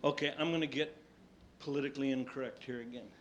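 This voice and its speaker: A middle-aged man with a high, squeaky voice telling comic stories live, animated and swinging between hushed asides and loud exclamations. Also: fast, highs cut off. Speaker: stand-up comedian. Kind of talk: live comedy storytelling to an audience. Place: live stage before an audience. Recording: live stage recording, noisy background.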